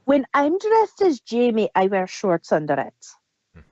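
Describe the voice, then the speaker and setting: Higher-voiced woman in dry, dull audio. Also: wildly swooping pitch, slow, darkish tone, no echo. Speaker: podcast host. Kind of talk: casual chat.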